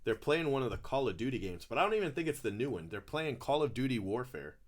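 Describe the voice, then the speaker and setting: A man's voice: medium pitch, very animated, quick pace. A man hosting a live trading-card break, chatting casually and calling out each card as he opens packs. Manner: chatty, calls out card names while opening packs.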